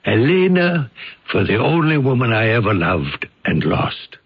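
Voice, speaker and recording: An actor playing a grandiose, smooth-talking elderly bachelor count in old-time radio drama, coaxing in a florid, paternal way. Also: male voice, medium pitch, big pitch swings, measured pace, full character voice. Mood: grandiose, wistful. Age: older. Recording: dull lo-fi radio recording.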